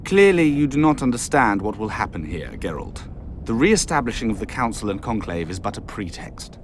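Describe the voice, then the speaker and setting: A man's voice: on the low side, sing-song, fairly quick, noisy background. An actor playing a proud, bitter nobleman of royal blood in a video game, formal and sardonic in manner. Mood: grave, haughty. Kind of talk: video game cutscene dialogue.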